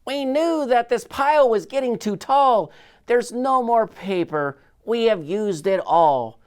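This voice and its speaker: A man voicing distressed little paint scribbles in a children's storybook, with dramatic, drawn-out wailing. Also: extremely high pitch, animated, clean tone, voice pushed up, exaggerated voice. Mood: dismayed.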